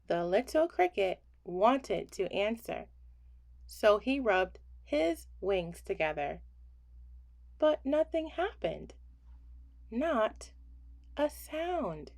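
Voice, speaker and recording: A woman reading a children's bedtime story aloud in an expressive, deliberate way with pauses. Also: medium pitch, creaky. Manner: slow deliberate phrasing with pauses, hushing on refrains.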